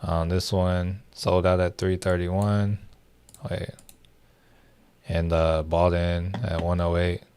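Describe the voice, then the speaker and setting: A man's voice: low voice, level tone, slow, long pauses, a little mellow, smooth. A young man hosting a livestream, chatting loosely with viewers, full of fillers and restarts. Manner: rambling, many fillers and restarts. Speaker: livestream host. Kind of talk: casual livestream chat with viewers.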